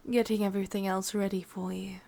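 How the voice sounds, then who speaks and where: A woman with an English accent playing an ancient, possessive, sadistic witch in a whispered ASMR roleplay, slow, breathy and softly menacing. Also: medium pitch, little pitch movement, natural voice. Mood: softly pleased.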